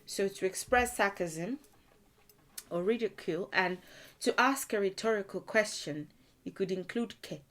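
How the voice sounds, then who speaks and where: Slow, patient woman teaching a language lesson. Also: medium pitch, fairly bright tone. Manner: thinking aloud with frequent fillers and restarts. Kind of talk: explaining vocabulary in a video lesson.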